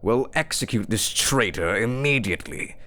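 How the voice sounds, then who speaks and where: An actor playing a scheming duke in a fantasy audio drama, with a pompous, theatrical, taunting delivery. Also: male voice, mid-range voice, expressive, moderate pace, bright, forceful tone. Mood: coldly urging. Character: scheming, sneering duke, a smooth-tongued villain.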